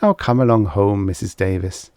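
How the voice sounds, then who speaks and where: A man's voice: on the low side, moderate pace, darkish tone, dry recording, lightly acted. A man voicing a smug, condescending male official in an audiobook, soothing and confidential. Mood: soothing, dismissive. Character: smug, patronizing pipe-smoking official humoring a woman. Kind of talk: audiobook character voice.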